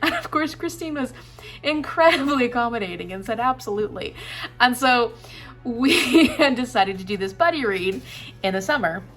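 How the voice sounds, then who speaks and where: Chatty young woman with a higher voice. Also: lively intonation, normal speed, a bit bright. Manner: upbeat and chatty, laughs often. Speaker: booktuber. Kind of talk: casual vlog announcement.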